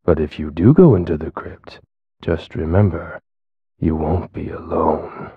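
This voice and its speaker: A creepy, courteous cemetery keeper from a video game. His voice is low and husky, with an unhurried, drily macabre delivery. The audio is slightly dull. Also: no echo, heavily acted. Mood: eerie, courteous. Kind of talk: video game character acting.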